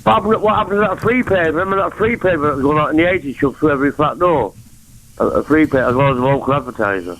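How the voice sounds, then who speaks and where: A man's voice: on the high side, rapid-fire, a bit bright, a little hiss or hum, poor audio. A man with a Mancunian accent rambling from topic to topic on a phone-in call. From England. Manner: rambling, jumps between topics. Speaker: caller.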